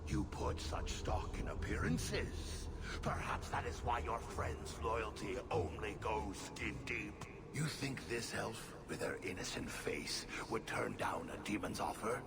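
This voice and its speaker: An actor playing a proud, sinister demon in a video game, with a gravelly, breathy growl and a haughty delivery. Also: male voice, lowish voice, lively intonation, heavy background noise. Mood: coaxing, haughty. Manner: slow, haughty. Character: proud, sinister male demon, coaxing and threatening.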